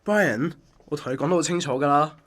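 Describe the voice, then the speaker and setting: A man's voice: on the high side, brisk, fairly bright tone, faint music behind, lightly acted. A man acting a firm, exasperated man who is rejecting an admirer in a radio drama, with a Hong Kong Cantonese accent. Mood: alarmed, angry.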